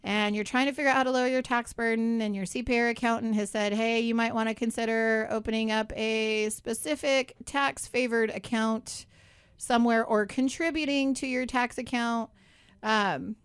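A woman's voice: on the high side, fairly even intonation, moderate pace, smooth, no echo. Chatty radio host woman, dry studio sound. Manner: chatty, upbeat, many asides and "right?". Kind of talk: casual talk radio chat.

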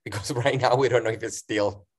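A middle-aged man from Venezuela with a Venezuelan accent, speaking English as a second language as an interview guest, animated and given to restarts. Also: mid-range voice, a little fast, breathy edge. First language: Spanish. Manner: animated, restarts often, acts out his own past words. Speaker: guest performer. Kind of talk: casual interview chat.

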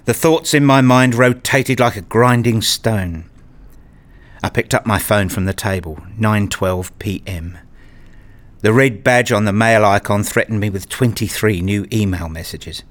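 A weary father played by a man tells his own story in a radio play. His voice is fairly low, and his delivery is measured and sombre. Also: natural voice. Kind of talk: first-person radio play narration and acting.

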